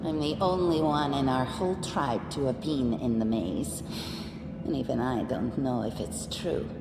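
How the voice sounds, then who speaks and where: A guarded female game scout with a very low voice. She speaks gravely and defensively at a slow pace. Music plays behind her in a long, echoing reverb. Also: very noisy. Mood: solemn. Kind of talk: video game voice acting.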